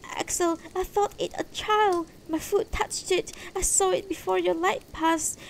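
A woman's voice: fairly even intonation, unhurried, vocal fry, a little hiss or hum, full character voice, low-quality recording. A woman voicing an anxious, tender elderly wife in a fantasy story, pleading and distressed. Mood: alarmed. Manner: pleading, distressed, high-voiced.

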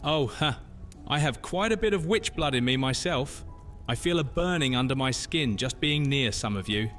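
A man's voice: medium pitch, lively intonation, moderate pace, fairly bright tone, faint music behind, natural voice. A man playing a polite, effusive Roman villa owner with witch blood in a fantasy audio drama. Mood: knowing.

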